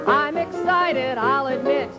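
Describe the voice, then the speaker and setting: Lady singing old twenties jazz, high voice, loud band behind her. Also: slow pace. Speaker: band singer. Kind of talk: singing 1920s jazz song. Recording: live band music behind vocal. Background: jazz band playing.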